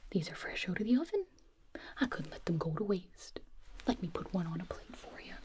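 A woman's voice: mid-range voice, slightly rough. Woman whispering as Holly, a doting elf mother. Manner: soft whisper, fussing and gushing. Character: doting chatty elf mother, fussy and sweet. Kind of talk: whispered ASMR roleplay.

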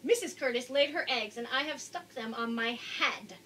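Casting: woman as contented storybook fish father, bright-voiced, proudly minding his eggs. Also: high-pitched, moderate pace, a little hiss or hum. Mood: proud, cheerful. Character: cheerful, proud male fish dads guarding their eggs. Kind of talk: children's picture-book read-aloud.

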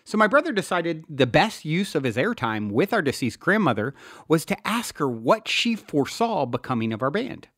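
A man from the US East Coast reading his own self-help book in a deliberate, earnest way. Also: fairly high pitch, big pitch swings, fairly bright tone, dry recording, studio quality. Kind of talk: scripted audiobook narration.

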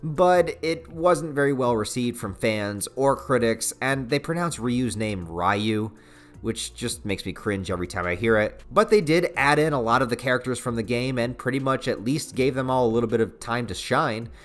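A man's voice: medium pitch, animated, moderate pace. A man in his thirties with a North American accent, narrating a scripted but conversational review with casual, sarcastic asides. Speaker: video essayist, channel host.

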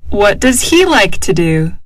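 Slow, lively woman drilling lesson phrases. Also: medium pitch, dry recording. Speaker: dialogue reader.

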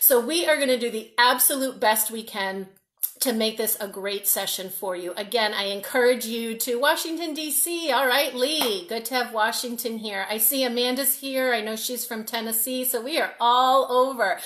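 Woman coach, 40s, slightly high voice, upbeat live-stream host greeting viewers, home webcam. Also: moderate pace, fairly bright tone. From Massachusetts, North Shore of Boston. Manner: upbeat, greets viewers by name, restarts words. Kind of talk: live-stream workshop talk. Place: room at home, indoors.

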